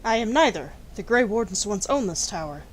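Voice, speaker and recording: A woman's voice: mid-range voice, animated, fairly quick, lightly acted. A woman voicing a composed, firm female warrior recruit as an amateur fantasy game voice-over. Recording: noisy amateur microphone.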